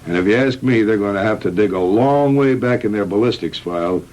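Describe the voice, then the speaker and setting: A man playing a calm, frank middle-aged hospital doctor in a measured, matter-of-fact 1960s B-movie style. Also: lowish voice, close to an everyday voice. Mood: grave, recounting. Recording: noisy old 1960s film soundtrack.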